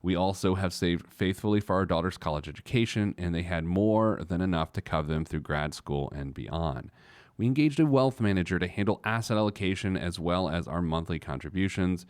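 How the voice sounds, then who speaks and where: Man, low pitch, dry studio sound, casual finance host, self-deprecating, digressive, repeats for emphasis. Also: brisk, a little mellow, clear, resonant tone, no room sound. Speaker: personal finance radio show host. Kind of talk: casual talk show monologue with some letter reading.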